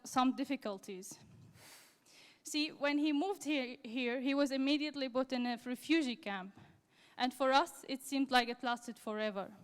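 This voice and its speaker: A young woman from Syria tells her story on a conference stage, speaking English as an in a high, clear and smooth voice. She speaks in halting, careful phrases, and her voice rings through a large hall with a long reverb. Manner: halting, slightly non-native phrasing. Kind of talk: personal story told on stage.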